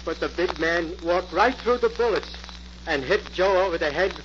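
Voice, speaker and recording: An actor playing a fearful, harmless, simple country fellow in a 1940s radio drama, talking in a hesitant, folksy way. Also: male voice, high-pitched, moderate pace, close to an everyday voice. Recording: muffled, very noisy old-time radio recording.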